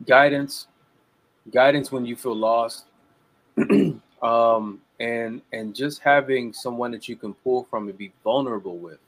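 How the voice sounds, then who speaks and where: A young man as a guest, chatting casually and acting out the lines he quotes in lively voices. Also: medium pitch, a little slow, poor audio. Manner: animated storyteller, acts out quotes. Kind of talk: casual interview talk. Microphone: video call.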